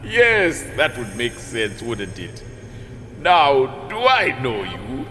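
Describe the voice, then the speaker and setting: A man's voice: mid-range voice, huge pitch swings, slow, pushed and bright, slight background noise, exaggerated voice. A man playing the friendly, well-traveled spirit of a man in his prime, speaking with courteous, wistful, poetic phrasing in an audio drama. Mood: puzzled, curious. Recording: long cavernous reverb over music.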